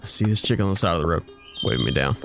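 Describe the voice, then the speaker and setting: Man acting folksy womanizing storyteller, very animated. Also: low voice, racing, vocal fry, soft background music, exaggerated voice. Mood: sly, boastful. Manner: folksy, innuendo-laden, stumbling into self-corrections. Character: womanizing younger man, bashful braggart telling racy tales. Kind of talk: audio drama acting, anecdotal storytelling.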